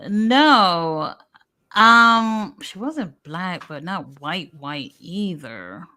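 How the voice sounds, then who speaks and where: A woman's voice: on the low side, expressive, drawn-out words, pushed and bright. A woman in her thirties, born in America with Haitian Creole as her first language, chatting candidly as a show host. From United States. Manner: candid, chatty storytelling with frequent fillers. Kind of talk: casual chat.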